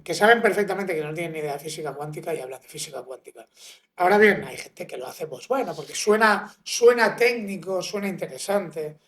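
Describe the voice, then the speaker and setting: Woman host, lower voice, frank and informal interviewer on a podcast mic. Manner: frank, informal, says tío. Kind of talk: casual interview chat.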